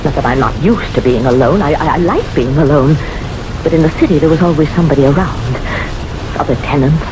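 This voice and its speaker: An actress playing an elderly, solitary, jumpy woman who talks to herself in halting, fretful phrases in a radio drama. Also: low-pitched, lightly acted, low-quality recording. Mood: lonely, reflective. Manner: fretful, halting, self-correcting. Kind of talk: old-time radio drama acting. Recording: old radio drama recording, music behind.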